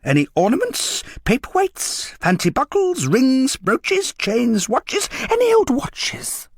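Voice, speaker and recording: Guy putting on a sibilant old junk buyer for a story, pitched way up, swoopy coaxing sales chant. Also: wildly swooping pitch, slow pace, no room sound, clean studio sound, full character voice. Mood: coaxing, eager. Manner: coaxing, sing-song patter, rattling off lists. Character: wheedling old male door-to-door junk buyer.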